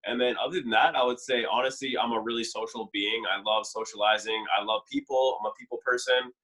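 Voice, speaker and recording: Man, young adult, from Canada, bright voice, middle pitch, chatty, filler-heavy. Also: fairly even intonation, fast, poor audio. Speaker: dating show contestant. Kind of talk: casual podcast chat.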